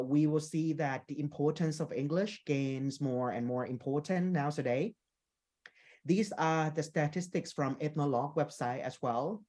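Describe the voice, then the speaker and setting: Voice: mid-range voice, darkish tone. A speaker from Thailand gives a conference presentation on their work as a teacher trainer. They explain points patiently, lean on "you know," and keep checking in with listeners with "okay?" and "right?".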